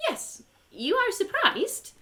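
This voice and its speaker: A woman's voice: very high voice, huge pitch swings, slow pace, bright, forceful tone, pitched above the performer's own voice, full character voice. A woman voicing an eager, childlike, giggly elf who speaks in quaint clipped phrases with dropped articles in a fantasy story. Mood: earnest.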